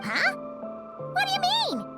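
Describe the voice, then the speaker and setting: Childlike floating companion, piercingly high, squeaky, exclaiming, loud music behind, video game. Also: female voice, huge pitch swings, takes it slowly, heavily acted. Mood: puzzled, indignant. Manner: exclaiming, inquisitive, refers to herself by name. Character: tiny floating fairy-like companion, childlike, excitable.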